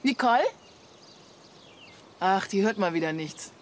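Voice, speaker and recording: An actress playing a middle-aged wife and mother, sharp-tongued yet fond, in a German radio play. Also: medium pitch, expressive, a little slow, slight background noise, lightly acted. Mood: teasing.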